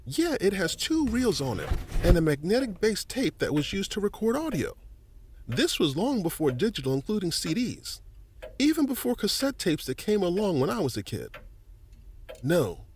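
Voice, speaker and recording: A folksy, middle-aged local history volunteer in a family audio drama. His voice sits high and swings with animated, avuncular explanation. Faint noise lies behind it. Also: normal speed. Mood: intrigued, chatty. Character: friendly, folksy older male museum volunteer and newspaper editor.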